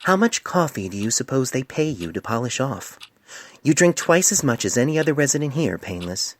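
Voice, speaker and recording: A man's voice: mid-range voice, sing-song, fairly quick, dry recording, pitched above the performer's own voice. A man voicing a smug, teasing middle-aged female pony doctor with a droll delivery for a fan fiction reading.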